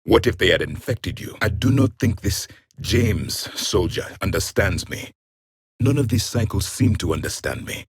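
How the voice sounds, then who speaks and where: An ancient alien soldier, the last of his people, in a video game. The voice is low and rough, swinging widely in pitch as he hands down grim, haughty judgments. The phrasing is formal and clipped, full of scorn. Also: animated, moderate pace, dry recording, clean studio sound. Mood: grim, disdainful. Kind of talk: video game character voice lines.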